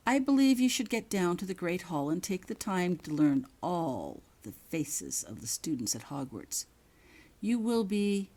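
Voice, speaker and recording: Woman playing a menacing storybook headmaster, male, near-whispering, slow deliberate pauses, cold control, swooping emphasis. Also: fairly low pitch, big pitch swings, dark tone, voice pushed up. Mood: quietly menacing.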